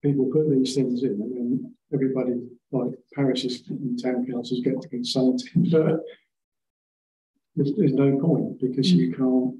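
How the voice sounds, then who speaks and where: A man on a council committee explaining planning rules at length, halting and restarting as he goes. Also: medium pitch, dark tone, highs cut off, slight background noise. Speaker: committee member. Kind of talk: council meeting discussion. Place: large meeting room. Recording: echoey room with faint ambience.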